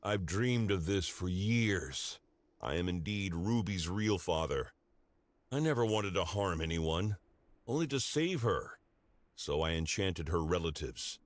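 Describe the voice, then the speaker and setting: A grave warrior father from a fantasy game. His voice sits low, and he speaks with dramatic earnestness, as a devoted protector who was once a cursed werewolf. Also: lively intonation, measured pace, no echo. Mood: grateful, earnest. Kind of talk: scripted video game character dialogue.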